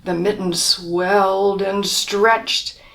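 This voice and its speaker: Lady reading a kids book out loud, slow and super expressive, bit far from the mic. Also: mid-range voice. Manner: expressive, asks listeners questions, drops to whispers. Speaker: storybook reader. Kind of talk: children's picture book read-aloud.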